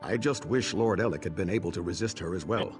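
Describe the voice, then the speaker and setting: A man playing a troubled, earnest figure of authority in a video game, speaking in a grave, measured way. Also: low voice, natural voice. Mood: regretful. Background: music.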